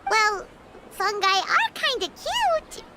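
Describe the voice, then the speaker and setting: Tiny game fairy, very high squeaky childlike voice, perky, eager, wildly animated. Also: female voice, slow, heavy background noise, exaggerated voice. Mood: curious. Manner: perky, refers to self in third person. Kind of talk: video game voice acting. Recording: game music behind the voice.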